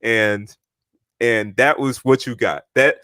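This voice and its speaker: A man's voice: medium pitch, fairly even intonation, takes it slowly, sharp tone, no echo, clean studio sound. A young man co-hosting a podcast, talking trash in boastful, repetitive casual chat. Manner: boastful trash talk, repeats himself. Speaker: podcast co-host.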